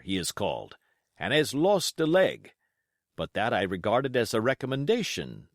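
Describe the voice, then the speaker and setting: A man voicing a pompous, excitable country squire reading out his letter in an emphatic, blustering storybook manner. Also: mid-range voice, dry recording, studio quality.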